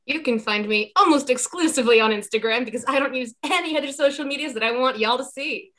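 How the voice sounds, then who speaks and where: A woman's voice: high-pitched, fast talker, a bit bright. A woman chatting casually as a panelist in an informal group conversation. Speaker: panelist and podcaster.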